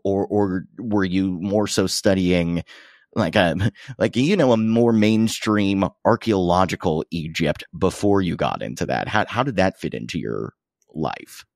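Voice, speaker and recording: A man hosting a podcast interview, talking in a halting way with many fillers and restarts. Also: sits low, a little mellow, no echo, studio quality. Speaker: podcast host. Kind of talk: conversational interview.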